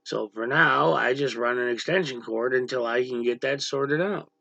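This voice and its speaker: A man hosting a casual livestream with a gravelly voice, talking bluntly to viewers and snapping at chatters who annoy him. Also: medium pitch, normal speed, sharp tone, no room sound, highs cut off. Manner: blunt, irritated, snaps at chatters. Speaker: livestream host. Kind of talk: casual livestream chat reading viewer comments. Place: dim room at home, power out.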